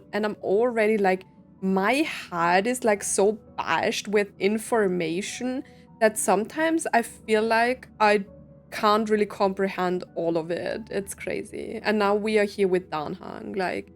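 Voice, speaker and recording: A woman giving casual gameplay commentary and reactions as a game streamer. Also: medium pitch. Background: game music.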